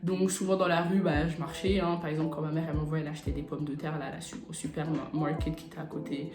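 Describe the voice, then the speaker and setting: Low-pitched young woman vlogger, roomy sound. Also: little pitch movement, fast. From France. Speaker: YouTube vlogger. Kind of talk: casual chat, personal storytelling to camera. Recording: roomy echo, music bed behind. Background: background beat music.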